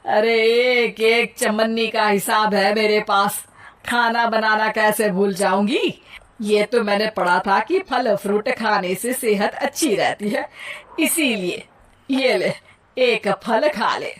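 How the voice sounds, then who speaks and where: A woman's voice: mid-range voice, takes it slowly, lightly acted. A woman voicing a stubborn, proud middle-aged mother-in-law who scolds in a bossy way. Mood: defensive.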